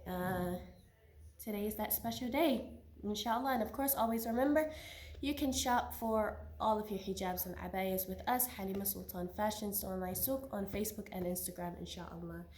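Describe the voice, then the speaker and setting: A woman in her thirties, recovering from a cold, chatting casually and warmly as she shares her hair care routine. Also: medium pitch, normal speed. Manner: chatty, friendly, sprinkles religious phrases. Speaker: hijab seller and vlogger. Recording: echoey room.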